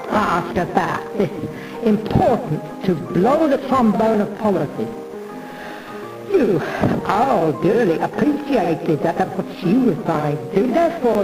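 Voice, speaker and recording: A performer delivering a rambling, mannered theatrical monologue, addressing the listener familiarly as a pianist would from the stage. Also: mid-range voice. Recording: roomy echo, distant mic, music behind.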